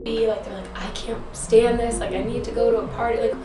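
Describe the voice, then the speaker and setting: A woman's voice: on the high side, level tone, fairly quick. A woman residence coordinator answering interview questions in a chatty way, with lots of "like" fillers. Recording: roomy echo, distant mic, loud music underneath.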